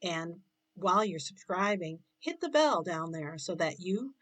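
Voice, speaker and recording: A woman's voice: fairly low pitch, big pitch swings, measured pace, a bit bright. A woman and former math teacher giving an earnest, encouraging motivational talk to her viewers, with playful asides.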